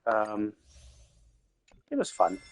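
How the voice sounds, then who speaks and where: A man's voice: lowish voice, huge pitch swings, extremely slow, creaky, slight background noise. A man streaming a game live, thinking aloud in a loose, rambling way with lots of restarts. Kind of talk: casual live-stream commentary while playing.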